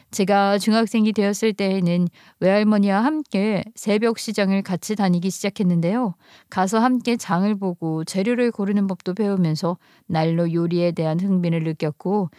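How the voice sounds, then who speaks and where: A woman's voice: mid-range voice, dark tone, dry recording, studio quality. A woman narrating a scripted first-person story.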